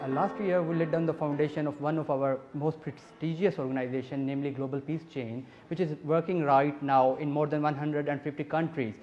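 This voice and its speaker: A man's voice: a little high, steady tone, fairly quick, few pauses, darkish tone, dull audio, soft background music. A man from Pakistan, the executive director of a peace group, speaking earnestly and emphatically in an interview. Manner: earnest, emphatic, lists items in rhythm. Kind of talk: advocacy interview speech.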